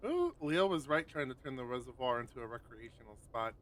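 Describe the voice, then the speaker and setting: A man's voice: medium pitch, animated, fairly quick, a bit bright. A man hosting a live stream, chatting casually with friends and reading a visual novel's text aloud with rambling asides. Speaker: streamer host.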